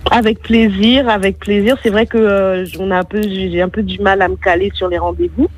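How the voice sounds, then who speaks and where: A woman's voice: medium pitch, fairly even intonation, fairly quick. A woman chatting informally and a little hesitantly as an interview guest. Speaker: guest, singer and self-taught designer. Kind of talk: casual interview chat. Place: remote call near traffic. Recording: dull lo-fi phone call from street.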